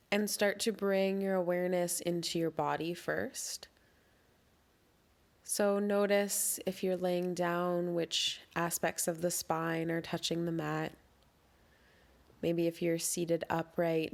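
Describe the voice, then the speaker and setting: Female meditation guide, unhurried and soothing, slightly low voice, calmly instructing through breathwork. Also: creaky.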